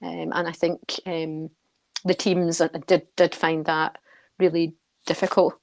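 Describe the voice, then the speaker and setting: Low-voiced, even-toned woman being interviewed. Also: creaky, no room sound. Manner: measured, earnest, halting with repeated words. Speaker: guest, care charity board member. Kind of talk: radio interview answers.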